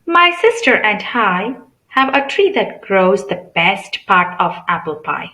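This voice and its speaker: A woman's voice: mid-range voice, big pitch swings, slow, bright, forceful tone, roomy sound, low-quality recording. A woman reading a picture book aloud to children in a teacherly way, asking questions and explaining simply. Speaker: read-aloud channel host.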